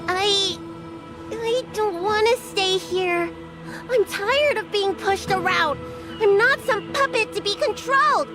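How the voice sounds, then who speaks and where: Very high-pitched plaintive little dragon girl. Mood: tearful, pleading. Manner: childlike, halting, tearful. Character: small young dragon girl, plaintive, weary, pleading. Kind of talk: video game voice acting. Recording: music clearly behind the voice.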